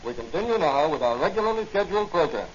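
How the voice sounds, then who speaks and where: An actor playing a formal, urgent radio newsreader delivering a 1940s-style news bulletin. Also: male voice, on the high side, very animated, fast talker. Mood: grave, formal. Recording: muffled, noisy old 1940 radio recording.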